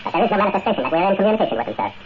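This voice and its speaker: An actor playing a formal, insistent alien space patrol officer in a 1950s radio drama, with stilted, precise questions. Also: male voice, high voice, very animated, very fast. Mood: probing, formal. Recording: dull, hissy 1950 radio recording.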